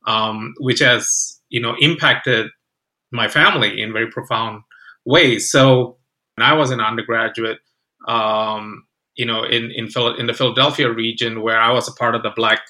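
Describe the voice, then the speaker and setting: A man from Malaysia answering interview questions as an academic guest, in reflective, halting, conversational phrasing. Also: medium pitch, bright, forceful tone, rough recording. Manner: hesitant, reflective phrasing with frequent "you know".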